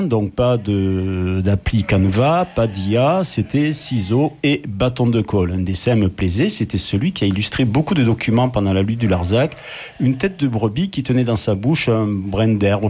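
A man's voice: mid-range voice, a little mellow, a little hiss or hum. Wry older man reading a radio column. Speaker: radio columnist telling a personal story.

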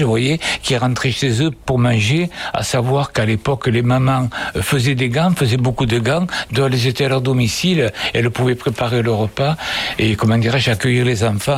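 An older man, answering children's interview questions at an unhurried, storytelling pace. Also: medium pitch, husky.

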